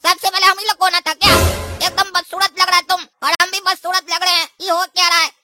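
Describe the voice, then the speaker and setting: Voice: extremely high pitch, level tone, brisk, over music. A performer voicing a bossy, bickering young male cartoon pumpkin, an older brother who talks in colloquial scolding Hindi. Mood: baffled, worried. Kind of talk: comic cartoon dialogue.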